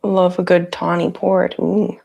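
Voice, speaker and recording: Raspy, slightly low-voiced woman streamer. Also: flat delivery, unhurried, darkish tone. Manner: chatty, greets viewers by name, laughs often. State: sick with a cough. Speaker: livestream host. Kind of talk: casual livestream chat with viewers. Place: her home office, indoors. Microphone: lapel mic on her shirt.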